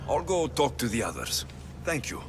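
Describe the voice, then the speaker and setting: A man's voice: medium pitch, sing-song, normal speed. An actor playing a guarded, wry male mercenary hero in a video game, understated in delivery. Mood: feigned hesitance. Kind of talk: video game cutscene acting. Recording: prominent music underneath. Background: game music.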